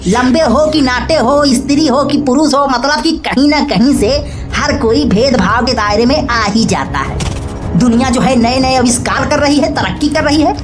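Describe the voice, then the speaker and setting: A man's voice: very high voice, normal speed, music in the background. A man voicing an opinionated, exasperated male friend in a comedy cartoon, grumbling and ranting in earnest. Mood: earnest, indignant. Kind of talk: Hindi comedy cartoon dialogue.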